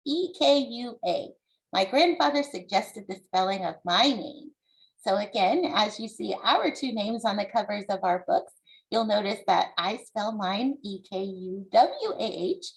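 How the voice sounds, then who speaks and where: A woman from the United States, an author reading her book aloud and explaining it to young listeners in a deliberate, encouraging, instructive way. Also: mid-range voice, creaky, poor audio.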